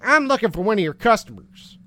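A man's voice: high voice, very animated, a little fast, bright, forceful tone, full character voice. A man voicing a clumsy private eye who stammers and hesitates. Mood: flustered. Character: flustered male noir private eye, awkward.

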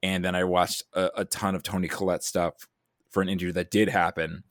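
A man's voice: low voice, little pitch movement, fairly quick, no room sound, clean studio sound. A man chatting casually as a podcast guest, rambling and self-deprecating, sounding a bit tired. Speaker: podcast guest, writer and creative producer. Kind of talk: casual chat.

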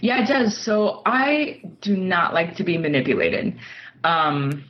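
A woman speaking as a writer being interviewed, thoughtful and measured, pausing mid-sentence as she reflects. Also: lowish voice, big pitch swings. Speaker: podcast guest, nonfiction writer. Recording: dull lo-fi audio.

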